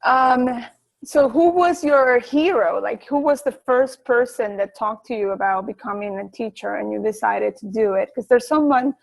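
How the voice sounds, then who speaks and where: A woman's voice: fairly high pitch, moderate pace, gravelly, low-quality recording. A woman interviewing someone in a casual chat over a video call.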